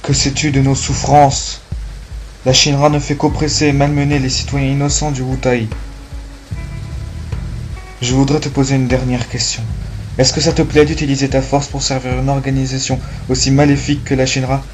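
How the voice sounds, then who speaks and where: A man's voice: mid-range voice, little pitch movement, moderate pace, soft background music, close to an everyday voice. A man voicing a grave, defiant soldier of a ravaged homeland in an amateur video game dub, speaking in a declamatory way. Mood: grave, accusing.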